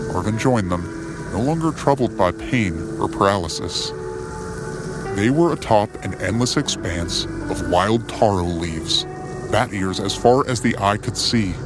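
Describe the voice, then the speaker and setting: A man narrating a scripted dramatic story in a measured, solemn manner. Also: on the low side. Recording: music under the voice. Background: music bed.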